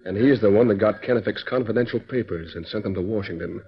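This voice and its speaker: A man's voice: quick pace, natural voice. An actor playing a cautious, scheming male outlaw boss who speaks tersely in a 1940s radio drama. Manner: low, terse. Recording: dull old radio recording.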